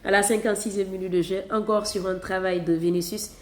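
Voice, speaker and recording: A woman from Catalonia reviewing football matches in a casual, animated way, stressing points by repeating them. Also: mid-range voice, brisk, echoey room, noisy background. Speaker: football channel host.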